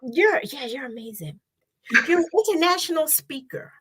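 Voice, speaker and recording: A woman's voice: sits high, animated, normal speed, a bit bright. A woman hosting an interview, affirming and encouraging her guest. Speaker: host. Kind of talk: conversational interview.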